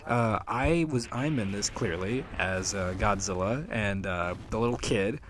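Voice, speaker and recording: Casual, halting man giving commentary. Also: lowish voice, a bit bright, slight background noise. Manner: rambling, halting, chuckles often. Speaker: fan dub creator, commentator. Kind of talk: casual unscripted solo commentary.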